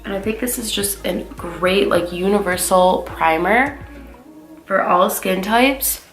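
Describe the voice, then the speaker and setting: A woman's voice: mid-range voice, slow, gravelly, soft background music. A young woman in her twenties giving a casual makeup tutorial, chatty and upbeat with many filler words, sounding nasal as she gets over a cold. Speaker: beauty vlogger.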